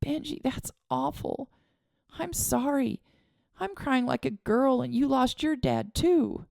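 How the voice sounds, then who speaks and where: A woman's voice: high-pitched, animated, slow, creaky, mellow, no room sound, studio quality, voice pushed up, exaggerated voice. A woman voicing a grieving young girl who stammers and whimpers through tears. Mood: tearful.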